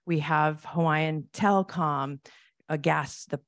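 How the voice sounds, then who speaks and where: Woman, keynote speaker, low voice, gravelly, conversational Q&A, large conference hall. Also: fairly even intonation, clean studio sound. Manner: conversational, warm thanks, acts out quick quoted asides. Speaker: keynote speaker answering audience questions. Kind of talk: conference Q&A answers.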